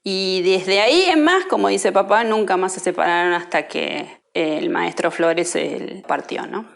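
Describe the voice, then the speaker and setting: A female interviewee with a slightly low voice tells family memories. She speaks like a storyteller in conversation, acting out quoted dialogue. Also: unhurried, gravelly.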